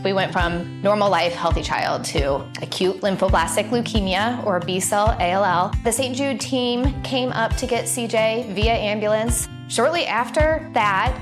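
Woman's testimonial over clear music. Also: medium pitch, moderate pace. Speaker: parent giving a testimonial. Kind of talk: personal testimonial in a charity ad. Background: music under the voice.

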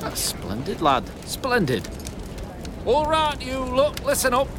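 Man playing a lively, pompous small-town mayor. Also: extremely high pitch, takes it slowly, noisy background, heavily acted. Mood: scheming, jovial. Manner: folksy, pompous, placating. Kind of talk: audio fiction drama acting.

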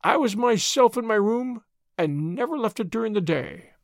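Excitable old professor, very high-pitched. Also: male voice, moderate pace, vocal fry, no room sound, clean studio sound. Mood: mocking, insincere. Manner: high-keyed, spluttering, theatrical. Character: old Russian male professor, excitable, scornful, cornered villain.

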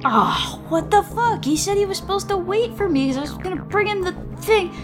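A woman in casual, sweary, expressive banter as a tabletop roleplay player. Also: extremely high pitch, a little fast, music in the background.

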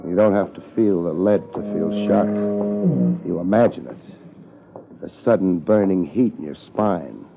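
An American actor playing a laid-back, wisecracking hard-boiled private investigator in a 1950s radio detective drama. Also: male voice, low-pitched, measured pace, creaky, soft background music. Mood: brooding narration. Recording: muffled old 1950s radio recording.

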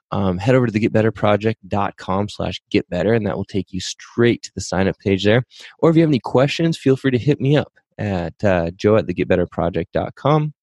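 A man in his thirties from the Pacific Northwest, hosting his own fitness podcast in an upbeat, energetic way while hoarse and losing his voice. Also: lowish voice, dry recording. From Pacific Northwest, USA. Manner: upbeat, energetic, pep-talk delivery. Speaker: podcast host and fitness coach. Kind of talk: casual solo podcast talk with sponsor reads.

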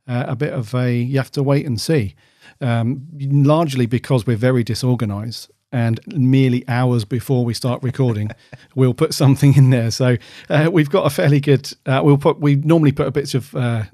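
A man's voice: mid-range voice, mellow, no room sound, studio quality. A man co-hosting a podcast in casual, easygoing, friendly chat. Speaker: podcast co-host.

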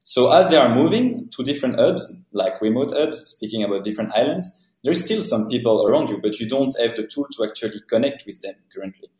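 A man's voice: medium pitch, a little mellow. A man with a French accent, a founder answering podcast interview questions earnestly in long, winding sentences. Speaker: startup founder guest. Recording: roomy echo.

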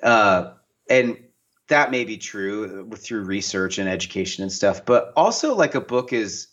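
A low-pitched man from the US Northwest chats as an interview guest. He tells loose, animated stories full of "like" and "you know" and acts out the voices of people he quotes. The sound is muffled and lo-fi. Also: unhurried. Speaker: guest author and painter. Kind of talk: casual conversational interview.

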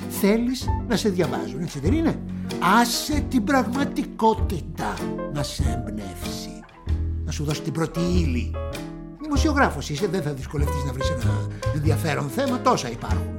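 A patronizing veteran writer, swings his low voice up and down as he coaxes a young journalist. Music runs beneath every line of this radio play. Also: big pitch swings. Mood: condescending. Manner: patronizing, coaxing. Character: seasoned male writer advising a young journalist. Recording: music playing underneath.

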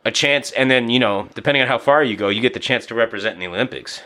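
Bright-voiced, profane man in casual chat. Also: medium pitch, very fast. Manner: casual, profane, storytelling with acted asides. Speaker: podcast guest, boxing coach and former DJ.

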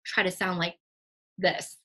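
A woman's voice: lowish voice, little pitch movement, a little slow, fairly bright tone. A Vietnamese American woman, native in Vietnamese, speaking earnestly in casual conversation. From United States.

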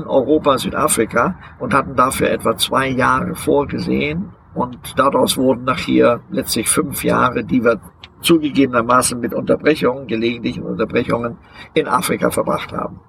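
An older man being interviewed outdoors, telling of his travels in a slow, deliberate way with many hesitations and filler sounds. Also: medium pitch, fairly even intonation, creaky, a bit bright, a little hiss or hum, low-quality recording. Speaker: interviewee, long-term overland traveler. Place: outdoors beside a vehicle museum.

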